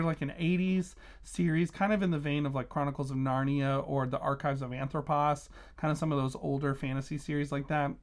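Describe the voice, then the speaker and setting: A man hosting a casual book review vlog, talking conversationally and emphatically, stressing and repeating key words. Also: on the high side.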